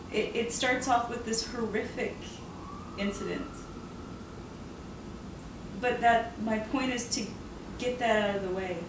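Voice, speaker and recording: Earnest woman author, roomy distant sound. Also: a little high. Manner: earnest, reflective, laughs often. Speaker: author interviewed about her novel. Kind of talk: casual interview conversation. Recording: roomy and noisy, mic far off.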